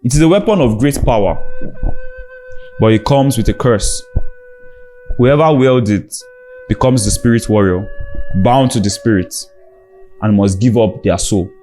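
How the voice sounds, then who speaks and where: A man's voice: on the low side, a little slow, long pauses, faint music behind, close to an everyday voice. A man as grave, resolute young blacksmith. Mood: grave, steady. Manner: steady, grave. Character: humble, resolute young male blacksmith hero.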